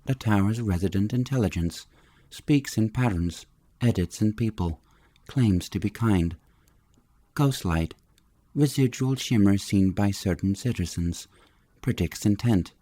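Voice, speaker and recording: A man's voice: on the low side, warm tone, no room sound. A man giving a scripted sci-fi audiobook reading in terse, clipped phrases. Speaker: audiobook reader.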